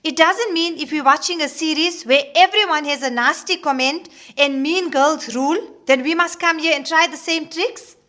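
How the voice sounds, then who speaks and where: Strict exasperated lady teacher, high sharp voice, scolding, radio drama. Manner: scolding, brisk authority. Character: firm, exasperated female Islamic class teacher. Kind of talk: audio drama acting.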